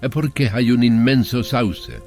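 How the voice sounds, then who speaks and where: A man voicing a frail, reclusive old gentleman with a formal, cultivated manner in a horror audiobook. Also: medium pitch, expressive, slow, soft background music, pitched above the performer's own voice. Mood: helpful, explanatory.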